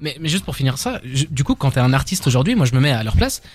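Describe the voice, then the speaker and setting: A man's voice: medium pitch, fast talker, dry recording. A man hosting a casual rap debate talk show, French not his first language, often restarting his sentences. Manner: animated, stumbling restarts. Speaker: podcast host.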